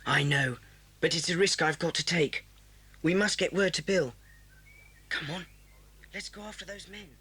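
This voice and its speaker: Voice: mid-range voice, normal speed, bright, forceful tone, breathy edge. A performer playing a blunt, brave schoolboy with an English accent in a children's audio drama. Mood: hushed, determined. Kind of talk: children's radio drama acting. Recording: hissy old cassette tape.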